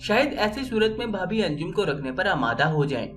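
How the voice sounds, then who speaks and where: A woman's voice: lowish voice, big pitch swings, moderate pace, faint music behind. A woman storyteller playing a cautious, sensible young sister who questions and reasons in a dramatic story. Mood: cautious, placating.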